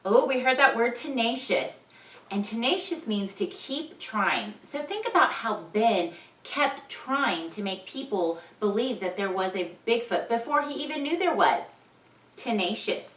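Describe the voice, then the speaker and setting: Slow, expressive woman teacher, bright voice. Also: on the high side. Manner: expressive, deliberate, repeats key words. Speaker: teacher reading aloud. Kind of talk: vocabulary lesson and storybook read-aloud for children. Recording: echoey room, distant microphone.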